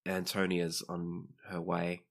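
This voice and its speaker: A man playing a groggy, halting husband who has just woken, stuttering in a tabletop game. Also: low-pitched, completely flat delivery, gravelly, heavily acted.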